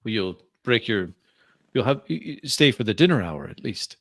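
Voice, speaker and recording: A man voicing a courteous Parisian gentleman traveler with a French accent, gracious and quick to laugh, in a tabletop roleplay. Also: on the low side, very animated, no room sound, studio quality. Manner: polite, gracious, laughs easily. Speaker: player. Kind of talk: in-character roleplay.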